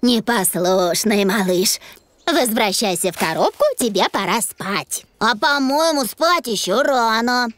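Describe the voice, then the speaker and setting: A woman voicing a kindly, gentle hamster vet who fusses over her pet in a children's cartoon. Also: a little high, lively intonation, measured pace, no room sound. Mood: fond fussing.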